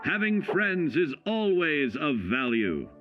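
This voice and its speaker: An actor playing a cheerful, folksy narrator of 1950s-style government survival films, selling each point with measured, upbeat emphasis. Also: male voice, fairly high pitch, very animated, lo-fi sound. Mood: upbeat, instructive. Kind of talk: 1950s instructional film narration. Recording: vintage band-limited film sound, music behind. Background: music.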